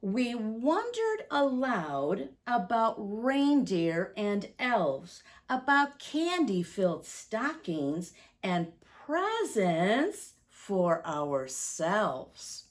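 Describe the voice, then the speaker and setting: A woman, speaking deliberately and expressively to young children as a story-time teacher. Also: medium pitch, big pitch swings. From United States, Midwest.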